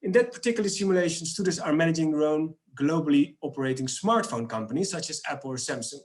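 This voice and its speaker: A middle-aged man with a Dutch accent walks through slides in an upbeat, chatty way. His voice sits a little higher than most men's, and he drops plenty of fillers and restarts. He is heard over a video call from a small room. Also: expressive, fast. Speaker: company presenter. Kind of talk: webinar product presentation.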